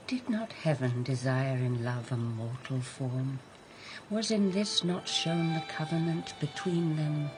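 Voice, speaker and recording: An actress playing a fervent worshipper in an ancient Greek chorus, intoning poetic radio drama lines with solemn intensity. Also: female voice, sits low, animated, unhurried, darkish tone, faint music behind.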